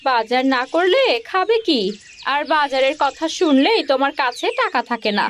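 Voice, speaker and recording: A woman's voice: sits high, expressive, normal speed, fairly bright tone, slight background noise. A woman voicing an indignant young wife in a cartoon, scolding and complaining in a plaintive way. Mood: nagging, indignant. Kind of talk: Bengali cartoon voice acting.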